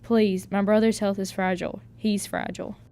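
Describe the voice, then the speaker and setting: A woman's voice: mid-range voice, little pitch movement, normal speed, warm tone, close to an everyday voice. A woman playing a plucky fourteen-year-old Irish servant girl in a radio drama, earnest, deferential and folksy. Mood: earnest pleading.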